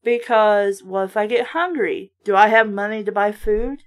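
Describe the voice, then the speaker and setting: A woman's voice: medium pitch, moderate pace. A woman hosting a casual book read-aloud with blunt, sardonic, sometimes profane running commentary. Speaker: book reviewer and host.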